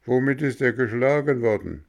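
Gruff commanding policemen, gravelly bark, from the male narrator. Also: medium pitch, moderate pace, voice pushed up, close to an everyday voice. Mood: stern commanding. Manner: barking, commanding. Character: stern gruff male gendarmes.

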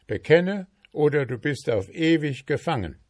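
A man's voice: mid-range voice, animated, measured pace, darkish tone, no room sound, studio quality, pitched above the performer's own voice. Man voicing a clever young journeyman. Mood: demanding. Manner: pleading, then demanding.

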